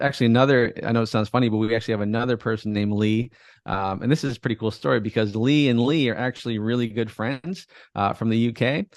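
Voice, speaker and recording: A man with a fairly low voice talks in a relaxed, rambling way, full of fillers and restarts. The recording is dry and close, with no room sound. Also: dry recording. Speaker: guest, affiliate marketer. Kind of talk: casual interview talk.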